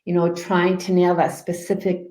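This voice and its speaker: Soft-spoken female coach, calm and unhurried, lower mellow tone, echoey room. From Canada. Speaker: guest life coach. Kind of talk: interview answers.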